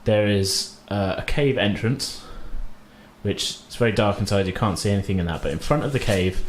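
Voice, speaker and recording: Low-voiced man in a roomy echo. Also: normal speed. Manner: hesitant, loosely colloquial descriptions with sort of and kind of. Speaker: game master. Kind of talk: improvised tabletop game narration and casual chat. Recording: roomy echo, faint background noise.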